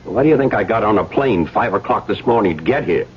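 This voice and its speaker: A man's voice: on the low side, lively intonation, quick pace, close to an everyday voice. An actor playing an overbearing, indignant middle-aged businessman in a 1970s radio drama, with a blustery, hectoring manner. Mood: angry, argumentative. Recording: muffled, noisy old radio broadcast.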